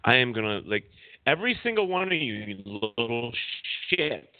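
A man's voice: mid-range voice, big pitch swings, normal speed, no room sound. A man hosting a casual chat show in a playful, mildly crude way. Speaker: show host. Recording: dry, phone-like narrow audio.

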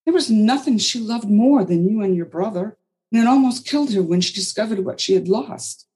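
A woman voicing a protective older caretaker who speaks firmly and chides gently. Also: medium pitch, expressive, fairly quick, pitched above the performer's own voice, lightly acted. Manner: firm, chiding, motherly.

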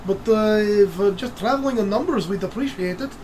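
A game master voicing a gruff, blunt male dwarf traveler with an attempted Scottish brogue, jovial and bargaining. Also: medium pitch, a little fast, crowd noise, lightly acted, low-quality recording. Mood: friendly.

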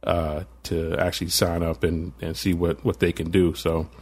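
A man's voice: low voice, level tone, normal speed, slight background noise. A man co-hosting a podcast, giving a music tip in a hesitant, casual way with lots of ums and uhs. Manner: hesitant, many fillers. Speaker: podcast co-host. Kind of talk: casual chat.

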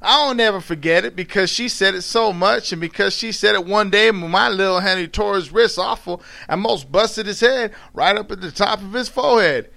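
Man putting on a high-voiced proud old mother. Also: vocal fry, bright, forceful tone, heavily acted. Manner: halting, folksy dialect storytelling. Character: elderly formerly enslaved mother telling her story, proud, sorrowful. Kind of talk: dramatic read-aloud of a dialect tale.

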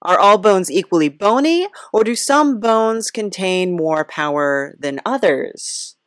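A woman's voice: mid-range voice, animated, takes it slowly, a bit bright, no room sound, studio quality. A woman hosting a casual comic podcast interview in a hesitant, awkwardly chirpy, giggly manner. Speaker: podcast host.

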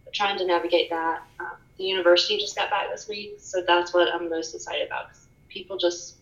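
A soft-spoken woman guest on a podcast, speaking candidly and reflectively in casual conversation. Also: on the low side, steady tone, fairly quick, echoey room, lo-fi sound. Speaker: podcast guest, fitness studio owner. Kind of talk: casual interview chat.

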